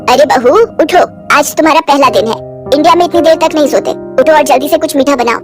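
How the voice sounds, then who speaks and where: A woman's voice: sits high, brisk, natural voice. Woman playing a scornful, bossy Indian mother-in-law. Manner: scolding, sarcastic, melodramatic. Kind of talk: acted dialogue in a moral story. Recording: music behind the voice.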